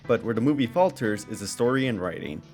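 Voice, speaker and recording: A man narrates a film review in a mid-pitched, lively voice that moves up and down. The delivery is casual and informal, like a scripted video essay, with music playing underneath. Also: fast. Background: background music under voice-over.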